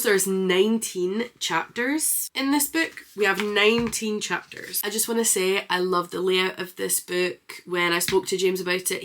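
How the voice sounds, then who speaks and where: Bright-voiced woman chatting enthusiastically. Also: on the low side. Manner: enthusiastic, expressive, informal. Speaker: book reviewer and vlogger. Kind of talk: casual read-along reaction chat.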